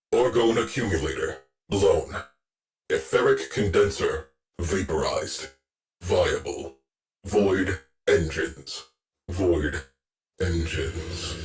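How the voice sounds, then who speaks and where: Sci-fi game ship AI: stern war veteran, deep register, unhurried, terse and grave. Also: male voice. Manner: clipped, terse, solemn military delivery. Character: stern, weary veteran military ship AI, haunted by loss. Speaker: mission control and ship intelligence. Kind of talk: scripted video game mission briefing.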